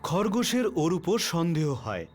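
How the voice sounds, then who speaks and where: A man with a somewhat high voice narrates a children's story. His scripted delivery is animated, with faint music underneath. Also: normal speed.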